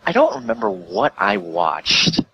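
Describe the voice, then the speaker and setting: A man's voice: sits high, huge pitch swings, unhurried, creaky, sharp tone, rough recording. A man telling casual, rambling stories as a podcast guest, swearing freely and peppering his speech with filler words. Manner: profane, rambling, uses the word like heavily.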